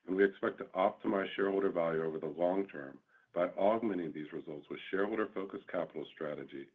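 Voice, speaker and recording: Husky, very deep male executive on phone. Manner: formal, read-out delivery. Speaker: company executive presenting results. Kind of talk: scripted corporate statement. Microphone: conference call line. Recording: narrow, phone-like conference audio.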